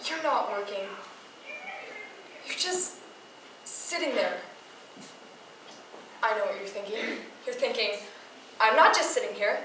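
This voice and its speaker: A woman's voice: fairly high pitch, animated, takes it slowly, pauses often. A woman playing an insistent, accusatory nag who overthinks everything, in a stage monologue. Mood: needling, tense. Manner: insistent, needling. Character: needling, accusatory woman nagging a companion, obsessively overthinking. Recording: roomy, distant mic, clear noise.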